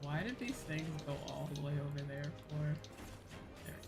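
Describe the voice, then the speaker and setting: Low-pitched woman chatting on a livestream. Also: expressive, normal speed. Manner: rambling, informal, thinks aloud. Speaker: livestreamer playing an online game. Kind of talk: casual livestream chat with game commentary. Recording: music behind the voice. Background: game music.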